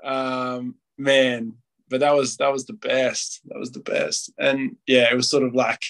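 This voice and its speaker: A man's voice: medium pitch, level tone, measured pace, creaky, a bit bright. Rambling man in his late twenties. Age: late 20s. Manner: rambling, heavy use of "like", acts out quotes. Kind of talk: casual podcast chat.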